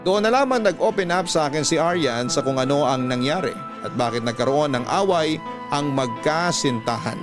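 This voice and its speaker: A man's voice: a little high, lively intonation, normal speed, over music, close to an everyday voice. A man with a Filipino accent reading a mother's letter as scripted radio storytelling. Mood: reflective. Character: mother narrating her letter about her daughter's romance.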